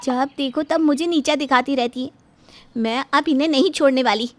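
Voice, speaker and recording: A woman's voice: high-pitched, moderate pace, noisy background, close to an everyday voice. A woman playing a quarrelsome, indignant younger daughter-in-law in a story, whiny and argumentative with exaggerated delivery. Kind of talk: animated Hindi moral story dubbing.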